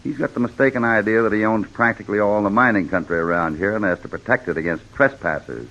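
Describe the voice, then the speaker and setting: An actor playing a shrewd cowboy hero who questions people with measured authority, with an American accent, in an old-time radio western. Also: male voice, lowish voice, fairly bright tone. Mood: businesslike. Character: steady, shrewd cowboy hero investigating a killing. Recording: dull lo-fi vintage radio recording.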